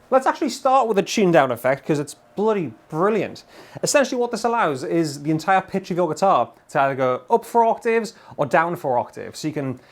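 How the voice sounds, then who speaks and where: A man in his thirties with a British accent, giving a chatty, enthusiastic, informal gear demonstration. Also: on the high side. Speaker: guitar gear reviewer. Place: small home studio full of guitars and amps. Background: electric guitar playing.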